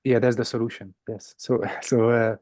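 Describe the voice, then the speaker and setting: A man from Hungary with a Hungarian accent teaches a puzzle game informally. His mid-pitched voice is soft-edged and relaxed, recorded very dry. He patiently repeats and rephrases each point. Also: moderate pace, no room sound.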